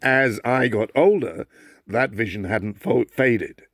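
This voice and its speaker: A man from Bangladesh reading a humorous memoir aloud in an expressive, theatrical storyteller's manner. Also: medium pitch, takes it slowly, dry recording. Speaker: actor reading a book aloud.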